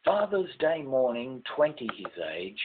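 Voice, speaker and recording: An older man with an Australian accent recites a rhyming ballad at an unhurried, measured pace. His pitch rises and falls widely in a declamatory sing-song that drops at the close of lines. The sound is narrow and tinny, like a telephone. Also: sing-song, noisy background. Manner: declamatory, sing-song, falling at line ends. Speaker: poet reading own poem. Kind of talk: poetry recitation, rhymed ballad with refrain.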